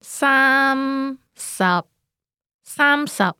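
A woman with Cantonese as her first language, giving slow, carefully enunciated model phrases for a language course. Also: high voice, animated, pushed and bright, no room sound, clean studio sound. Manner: clipped, carefully enunciated single words.

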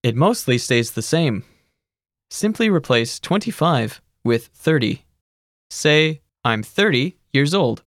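A narrator giving measured, scripted instructions in a language course. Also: medium pitch, dry recording, studio quality. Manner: even, measured teacherly instructions.

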